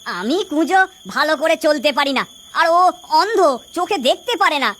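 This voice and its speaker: A woman's voice: high voice, expressive, moderate pace, fairly bright tone. A woman voicing a young, touchy but kindly hunchbacked outcast in a children's folktale cartoon, with a plaintive manner. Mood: pleading. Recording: children's music under the voice.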